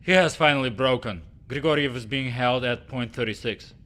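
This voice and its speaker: A man's voice: mid-range voice, moderate pace, bright, forceful tone, close to an everyday voice. An actor playing a formal male intelligence officer giving a briefing in a game cutscene.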